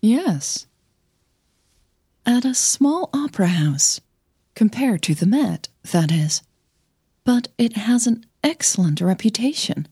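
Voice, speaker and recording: A woman voicing a soft-spoken, gentle young female opera singer in an audio drama. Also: fairly high pitch, sing-song, slow, long pauses, husky, dry recording, studio quality, voice pushed up, full character voice. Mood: hesitant.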